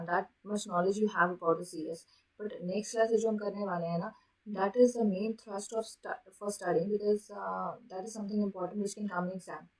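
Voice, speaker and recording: An Indian woman gives an exam-prep lecture in a medium-pitched voice. She has a sore throat and sounds like a teacher explaining point by point. She mixes languages and keeps adding short check-in tags for her students. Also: darkish tone, rough recording. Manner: mixes Hindi and English, frequent check-in tags.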